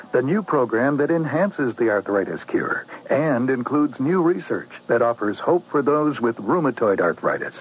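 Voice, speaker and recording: A man's voice: mid-range voice, slightly rough, low-quality recording. An American man reading a scripted radio advertisement in announcer style. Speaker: commercial announcer.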